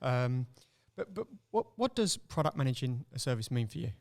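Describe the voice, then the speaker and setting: A man's voice: mid-range voice, big pitch swings, a little mellow. A man hosting a podcast, friendly and casual with plenty of ums. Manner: friendly, lots of ums and quick questions. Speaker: podcast host.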